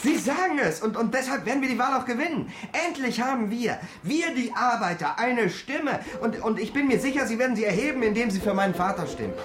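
A young woman, played by an actress with a fairly high voice. She is a zealous campaigner in a radio play, speaking in fervent, emphatic slogans. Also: animated, brisk, few pauses, close to an everyday voice. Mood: fervent, proud. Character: zealous young daughter campaigning for her candidate father. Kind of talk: radio drama acting, campaign interview.

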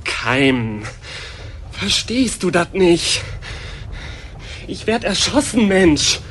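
An actor playing a desperate, hunted young deserter in a radio drama, with a North German accent and terse, urgent commands. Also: male voice, high-pitched, sing-song, extremely slow, a bit bright, slight background noise, exaggerated voice. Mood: threatening. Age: young adult. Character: desperate young army deserter, older brother, threatening and hunted.

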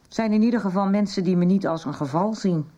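A woman's voice: medium pitch, quick pace, mellow, lo-fi sound, lightly acted. An actress playing a troubled middle-aged mother in a radio soap, guarded and ashamed about her drinking. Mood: confiding, ashamed. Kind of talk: 1990s Dutch radio drama acting.

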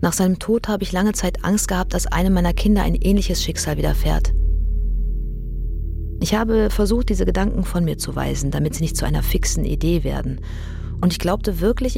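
An actress playing a fearful, burdened mother addicted to morphine, reading her diary in a measured, introspective radio drama monologue. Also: lowish voice, creaky, warm tone.

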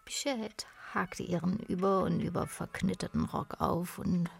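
A woman reading scripted narration for a radio play in a hushed, literary manner. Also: fairly low pitch, fairly even intonation, a little slow, creaky, husky, faint music behind.

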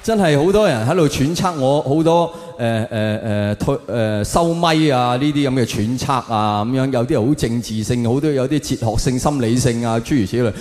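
A man's voice: mid-range voice, moderate pace, hardly any pauses, darkish tone. A man with a Hong Kong Cantonese accent performing a colloquial stand-up monologue, with rhetorical questions and emphatic punchlines. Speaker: stand-up comedian. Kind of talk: stand-up comedy monologue to an audience. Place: on stage in a large hall. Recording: echoey large hall, long reverb.

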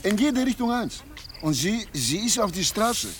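An excitable eyewitness in a radio play, his words tumbling out. His voice is high and swings widely up and down. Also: unhurried. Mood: agitated. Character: agitated male eyewitness, excitable.

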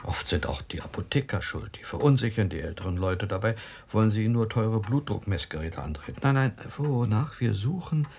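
An actor playing a skeptical, impatient doctor in a German radio drama, brisk and matter-of-fact. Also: male voice, on the low side, expressive, gravelly, darkish tone, slight background noise. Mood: firm, businesslike. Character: skeptical, impatient male doctor investigating a mystery.

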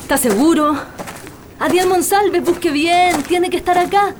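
A woman's voice: extremely high pitch, unhurried, background noise. An actress with a Chilean accent playing an anguished, insistent woman searching for her missing partner in a radio drama. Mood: anxious, pleading.

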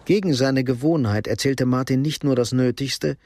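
An actor as the sober narrator of a crime radio drama, with a husky, creaky voice. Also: male voice, mid-range voice, moderate pace, darkish tone, no echo, studio quality, close to an everyday voice. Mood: measured. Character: sober male narrator of a Cold War crime story.

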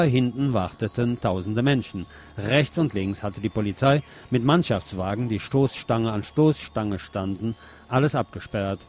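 A man hosting a radio show, presenting commentary and reading news aloud in a deliberate way with pauses. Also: medium pitch, darkish tone.

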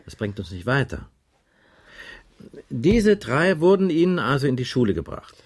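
An actor playing a matter-of-fact, insistent police inspector questioning a witness in a radio crime drama. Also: male voice, medium pitch, big pitch swings, husky. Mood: probing, insistent. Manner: matter-of-fact, probing, deliberate.

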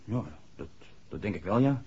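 A man's voice: fairly low pitch, expressive, moderate pace, darkish tone, slight background noise, lightly acted. A man acting an earnest, hesitant young village garage worker in a 1960s radio play, speaking deferentially. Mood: earnest, puzzled. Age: young adult. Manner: hesitant, deferential to his questioner. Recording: dull 1960s radio drama recording.

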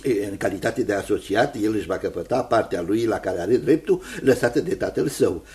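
Male actor as kindly old lawyer-guardian, radio play: fussy, halting, formal, very animated pitch that leaps about. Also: a little hiss or hum, close to an everyday voice. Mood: reassuring, businesslike. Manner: halting, repetitive, chuckling, formal. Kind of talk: radio drama acting.

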